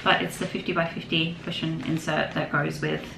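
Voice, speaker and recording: A young woman with a lowish voice chats her way through a casual shopping haul, rambling and laughing easily. She sits at a desk in a home craft room, picked up by a camera a little way off. The room is echoey, with noise behind her. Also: flat delivery, a little fast, vocal fry. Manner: chatty, rambling, with frequent laughs and restarts. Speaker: vlogger.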